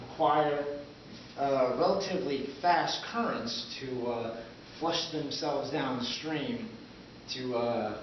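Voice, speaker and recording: Man fumbling through an explainer, heavy echo. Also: medium pitch. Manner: halting, fumbling, vague. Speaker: presenter. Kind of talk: amateur explainer, loosely scripted presentation. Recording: echoey, muffled, noisy, distant camera mic.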